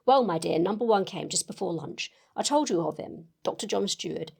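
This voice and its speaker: Young Victorian lady acted by a woman: sentimental letter-writer, gushing yet earnest, lowish pitch with audible fry. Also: lively intonation, a little fast, darkish tone, full character voice. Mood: excited, gushing. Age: young adult. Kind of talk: dramatic reading of a letter.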